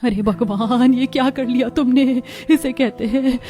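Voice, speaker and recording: Woman acting a worried village wife, mellow voice. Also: high-pitched, steady tone, unhurried. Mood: anxious. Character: worried, dutiful poor village wife and young mother.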